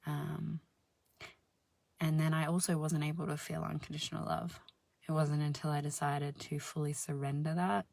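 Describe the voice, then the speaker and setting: A woman with a deep, husky voice giving a slow, soothing spiritual self-help talk, sometimes dropping into soft whispers. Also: level tone, no room sound. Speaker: spiritual healer and channel host.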